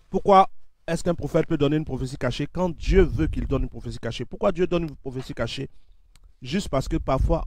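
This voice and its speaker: A man preaching fervently on a livestream in a steady repetitive cadence, with a head cold. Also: medium pitch, no echo. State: has a cold. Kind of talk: sermon with sung worship.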